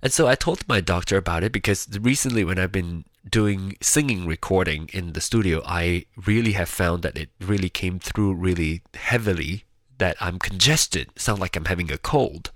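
Congested, gravelly man, very low voice. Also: moderate pace, fairly bright tone, no echo. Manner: rambling, chatty, with frequent restarts. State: nasal and congested; a little raspy and gravelly. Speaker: vlogger. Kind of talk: casual personal monologue to camera.

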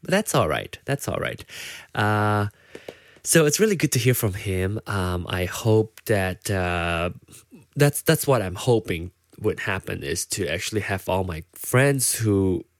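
Low-voiced Malaysian man, casual chat. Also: lively intonation, a little slow, dry recording, studio quality. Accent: Malaysian English, partly Americanized. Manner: rambling, with many fillers and restarts. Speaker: podcast host.

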